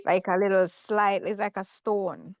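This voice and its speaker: A Jamaican woman tells a school memory in halting bits, often pausing to find her words. The sound is dry and a little dull, like a lo-fi call. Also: medium pitch, fairly even intonation, no room sound. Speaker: guest, former student. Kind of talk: casual storytelling on a video call.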